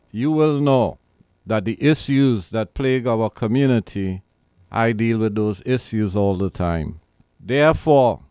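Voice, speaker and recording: Caribbean-born guy hosting talk radio, slow and preachy, smooth voice. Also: fairly low pitch, a little mellow, no room sound, studio quality. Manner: emphatic, repetitive, preachy. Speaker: radio show host and lawyer.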